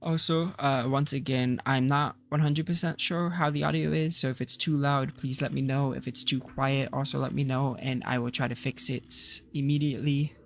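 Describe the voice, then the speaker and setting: Male streamer, tired and slightly rough, casual thinking-aloud commentary, creaky edge. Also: mid-range voice, steady tone, moderate pace, few pauses. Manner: rambling, thinking aloud with fillers. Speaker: game streamer. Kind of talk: casual let's-play commentary.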